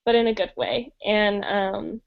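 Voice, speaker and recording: A woman's voice: medium pitch, expressive, unhurried, creaky. A young woman from Denmark and Los Angeles, talking casually as a guest on a video call with many "like"s and "um"s, through a headset mic. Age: young adult. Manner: chatty, many fillers and "like". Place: small room indoors. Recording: dull lo-fi video call audio.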